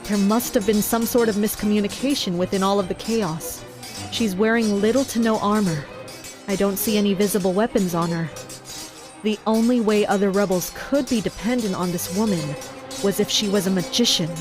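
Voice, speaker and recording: A woman's voice: mid-range voice, moderate pace, close to an everyday voice. A woman playing a tense, commanding castle fighter in a first-person dramatic narration. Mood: tense, watchful. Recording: music behind the voice. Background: music.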